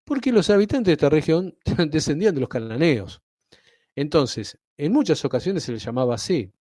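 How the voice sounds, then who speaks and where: A man's voice: a little high, sing-song, normal speed, dark tone, no echo, studio quality. A man with an Argentine accent giving a Bible teaching, explaining step by step and often checking in with listeners. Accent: Argentine Spanish. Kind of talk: teaching sermon.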